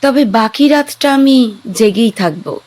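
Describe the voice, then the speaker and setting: A woman's voice: on the high side, expressive, takes it slowly, background noise. An actress playing an anxious, curious young woman stranded on an island.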